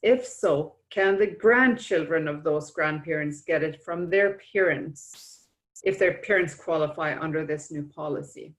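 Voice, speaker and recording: A woman with a lowish voice moderates a webinar, reading audience questions aloud in a steady way. Her voice comes through a video call. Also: measured pace. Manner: steady, reads questions, explains answers.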